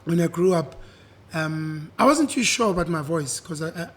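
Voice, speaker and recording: A young South African man with a husky voice, chatting easily as an interview guest and mixing in isiZulu. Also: fairly high pitch, moderate pace. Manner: relaxed, switches between English and isiZulu, repeats "yeah". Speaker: guest, singer. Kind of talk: casual interview chat.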